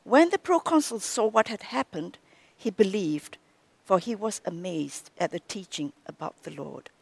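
A woman reading a scripture passage aloud in a measured way. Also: mid-range voice, expressive, creaky, breathy edge, dry recording.

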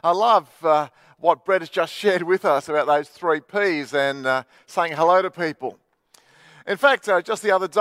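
High-voiced pastor preaching. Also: male voice, fairly bright tone, no echo, clean studio sound. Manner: chatty storytelling with many fillers. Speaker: Baptist pastor. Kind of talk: sermon. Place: church livestream.

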